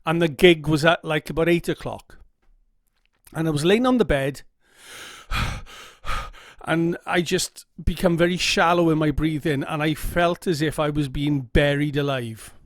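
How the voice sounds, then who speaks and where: A man's voice: on the high side, unhurried, dry recording, studio quality. A middle-aged man with a Welsh accent telling his own story to camera, earnest and candid, often checking in with "okay?". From Wales. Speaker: vlogger. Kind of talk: personal story told to camera. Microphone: camera mic.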